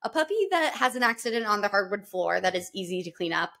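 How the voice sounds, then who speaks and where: A woman's voice: mid-range voice, sing-song, quick pace, a bit bright. A woman speaking as a guest dog trainer on a podcast, explaining things in an earnest, patient way. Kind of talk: podcast conversation.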